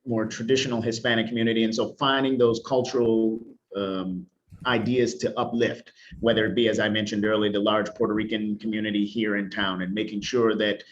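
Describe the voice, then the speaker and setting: A man's voice: fairly low pitch, flat delivery, a little fast, slight background noise. Earnest man on a muffled video call. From Detroit, Michigan. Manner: earnest, explanatory, with restarts. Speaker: music educator. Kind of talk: panel discussion answer.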